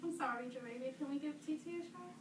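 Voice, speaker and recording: A woman's voice: sits high, quick pace. Female teacher for a children's read-aloud lesson, prompting with questions; roomy, far-from-mic sound. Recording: roomy, distant mic, clear background noise.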